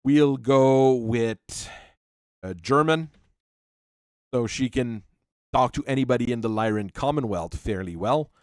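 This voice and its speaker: A man at a middle pitch, thinking out loud as he builds a tabletop game character. He reads rules aloud in a halting, musing way. His voice is close and dry, with no room sound around it. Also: clean tone, no room sound. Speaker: channel host. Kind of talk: talking through tabletop character creation.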